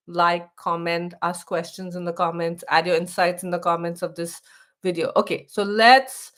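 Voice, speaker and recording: A woman's voice: lowish voice, flat delivery, moderate pace, a bit bright. A middle-aged woman from India with an Indian accent, hosting a casual live stream for expats, chatty, often restarting her sentences and reading text aloud.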